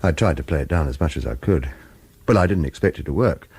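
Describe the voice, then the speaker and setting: A man's voice: a bass voice, lively intonation, fast talker, loud music behind the voice. An actor playing a wary hitman who narrates a radio drama and speaks protectively, with hesitant reassurance. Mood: brooding, watchful. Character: wary male hitman narrator, protective of his lover, evasive. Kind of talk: radio drama acting with first-person noir narration.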